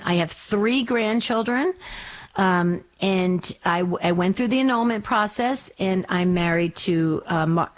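A mature American woman from New Jersey, in her fifties or sixties, chatting casually as a radio host in an effusive, emphatic way. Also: mid-range voice. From New Jersey, United States. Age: 50s or 60s. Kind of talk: casual chat answering a listener's letter.

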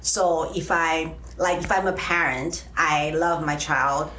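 A middle-aged woman from Taiwan with a Taiwanese accent, answering interview questions conversationally and haltingly, often pausing mid-phrase. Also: low voice, steady tone, bright, forceful tone, slight background noise, low-quality recording. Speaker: interview guest, teacher. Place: radio studio.

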